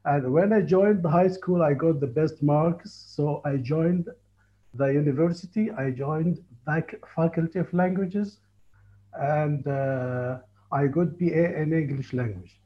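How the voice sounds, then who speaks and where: A man in his forties from Egypt with an Egyptian accent, telling his life story in a halting, earnest way over a call. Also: on the high side. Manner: halting, earnest, frequent restarts. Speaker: tour guide and Egyptologist. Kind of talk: casual interview on a call. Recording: muffled lo-fi call audio.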